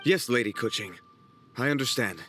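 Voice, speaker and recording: A man's voice: mid-range voice, lively intonation, normal speed, natural voice. A man playing a worried, deferential subordinate worker with a hesitant, obedient manner in a video game cutscene. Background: soft game music.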